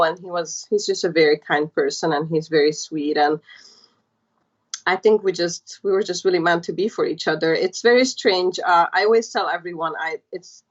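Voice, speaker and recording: Guest spot: Hungarian-born woman in her thirties, low-set voice, chatty storyteller, muffled lo-fi line. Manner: chatty, warm storytelling with many fillers. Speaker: podcast guest. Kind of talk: casual interview chat.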